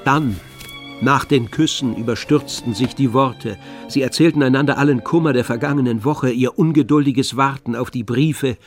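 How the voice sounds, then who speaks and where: A man reads scripted literary narration for a radio play. His voice sits at a middle pitch and moves in deliberate, measured phrases, with faint music underneath.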